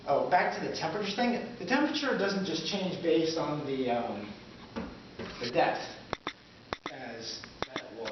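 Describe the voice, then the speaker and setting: A man giving an amateur, loosely scripted presentation as presenter, in a halting, fumbling and vague manner. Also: mid-range voice. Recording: echoey, muffled, noisy, distant camera mic.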